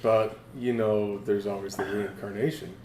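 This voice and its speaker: Calm male podcast guest in a roomy space. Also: fairly low pitch, a little hiss or hum. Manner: calm, measured, easygoing. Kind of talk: casual chat. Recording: roomy echo.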